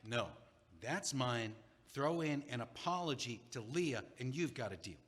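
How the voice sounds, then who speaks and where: A man's voice: medium pitch, expressive, a little slow, fairly bright tone. An actor playing an older male friend, exasperated but caring, in an audio play. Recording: echoey hall with long reverb.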